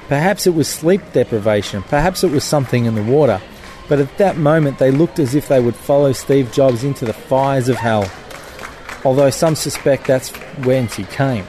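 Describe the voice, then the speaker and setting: Male columnist, mid-pitched, dry satirical read over a music bed. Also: mellow. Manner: wry, mock-biblical delivery. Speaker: columnist reading his piece. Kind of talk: satirical scripted narration.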